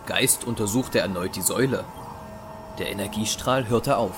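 Casting: male narrator, lower-pitched, scripted dramatic storytelling for sci-fi action audio drama, prominent music bed. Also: moderate pace.

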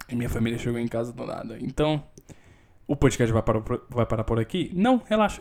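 A young man with a Brazilian Portuguese accent, hosting a casual solo podcast from home, telling a story with lots of swearing and sudden loud outbursts. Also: medium pitch. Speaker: podcast host.

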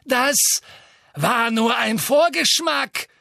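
A man voicing a cruel, manic dark witch who taunts her captives in a shrill, drawn-out way. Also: sing-song, gravelly, no room sound, full character voice. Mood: gloating.

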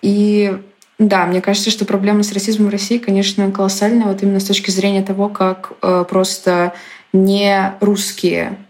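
A woman in a casual conversation, speaking thoughtfully and with measured hesitation. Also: medium pitch, little pitch movement, darkish tone.

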